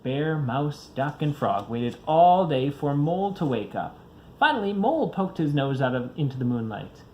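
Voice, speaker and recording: A man's voice: on the high side, big pitch swings, moderate pace, dark tone, a little hiss or hum. A father reading a children's picture book aloud, expressively, varying his pace for young listeners.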